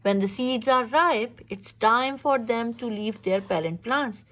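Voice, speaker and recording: A woman reads a children's picture book aloud in an expressive, instructive way, pausing to ask questions. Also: a little high, slight background noise, low-quality recording. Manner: expressive, instructive, exclaims and checks understanding. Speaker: reader teaching a young child.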